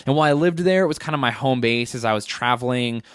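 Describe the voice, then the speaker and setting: A man's voice: mid-range voice, fast talker, clean tone, dry recording. Twenty-something guy rambling thru his life story, candid and self-mocking, dry close sound. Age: 20s. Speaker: guest comedian. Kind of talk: casual interview storytelling.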